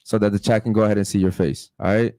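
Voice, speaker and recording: Male podcast host, quick blunt delivery, low voice, dry headset mic. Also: fairly even intonation, warm tone, no room sound. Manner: blunt, fast-talking, profane. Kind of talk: casual panel chat.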